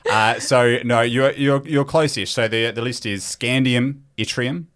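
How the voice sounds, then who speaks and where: A man's voice: medium pitch, fairly even intonation, unhurried, fairly bright tone. An Australian man hosting a quiz in a casual, bantering style. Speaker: quiz host.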